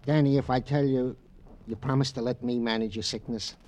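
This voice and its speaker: An actor playing a fussing, loyal American police sergeant sidekick, folksy and stammering, as comic relief in an old radio drama. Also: male voice, medium pitch, steady tone, fairly quick, a little mellow, natural voice. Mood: exasperated. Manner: stammering, folksy, mangles phrases.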